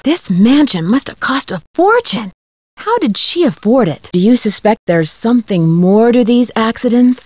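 Curious, high-voiced young detective. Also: female voice, darkish tone, no echo, clean studio sound. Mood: inquisitive. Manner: inquisitive, articulate, friendly. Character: curious, polite young female amateur detective. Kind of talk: video game voice acting.

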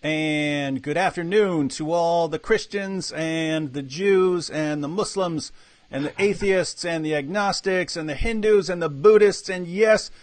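Lively American-sounding host, dry sound. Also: male voice, fairly high pitch, measured pace, no echo. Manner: energetic, lively intro with many fillers and restarts. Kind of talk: live online interview. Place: home room with bookshelves. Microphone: webcam video call.

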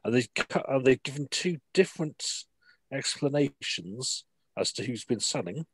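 A man with an English accent, talking a little haltingly as a player in a tabletop game. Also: mid-range voice, animated, slightly rough, dry recording, rough recording. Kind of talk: casual in-game recap.

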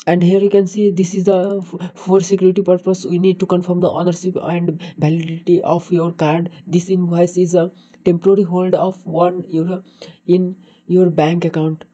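A man from Nepal with a Nepali accent narrates a screen tutorial haltingly, often repeating words. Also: sits high, level tone, dark tone. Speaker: tutorial host. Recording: dull, muffled lo-fi microphone.

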